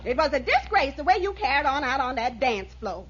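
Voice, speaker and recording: A nagging, indignant wife in a 1940s radio comedy, high-voiced and swooping. She is suspicious and sharp-tongued, heard on a muffled, noisy recording with an audience behind her. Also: very animated, fairly quick. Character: scolding, suspicious, disgusted wife. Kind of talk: 1940s radio sitcom acting.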